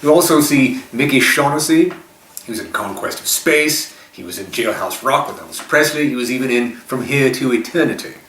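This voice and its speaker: A man's voice: mid-range voice, lively intonation, moderate pace, a bit bright. A man casually presenting film trivia as host of a retro TV show. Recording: roomy, distant mic with faint noise.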